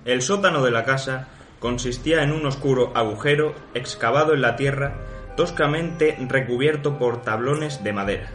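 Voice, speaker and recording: A man with a medium-pitched voice delivers dramatic scripted narration for a fantasy adventure. He sounds like a storyteller and game master. The room is echoey and music plays behind him. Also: normal speed, a bit bright.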